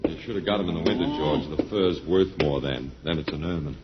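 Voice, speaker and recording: A man's voice: a bass voice, brisk, background noise. A male actor playing a wry, resigned academic with a detached, lecturing manner in an old radio drama. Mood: flippant, dry. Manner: wry, dry-humored, professorial, casually rambling. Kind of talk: 1950s radio drama acting. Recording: muffled, worn old radio recording.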